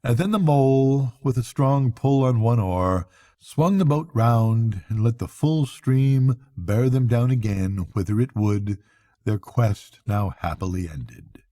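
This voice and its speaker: An older man narrates a children's bedtime story. His voice is soft-edged and mellow, sitting at an average pitch for a man. The recording is dry, with little room sound. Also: expressive, takes it slowly, no echo.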